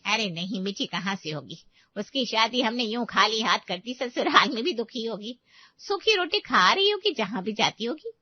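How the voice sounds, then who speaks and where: A woman's voice: on the high side, lively intonation, normal speed, no room sound, highs cut off. A woman playing a spiteful, scheming middle-aged aunt in a family story, scornful and gossiping.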